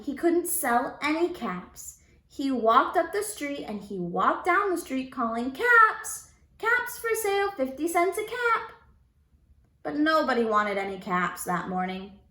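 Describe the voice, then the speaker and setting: A woman teacher reading a storybook aloud to young children in an expressive, deliberate way. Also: on the high side, echoey room. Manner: deliberate, expressive, prompting listeners with questions.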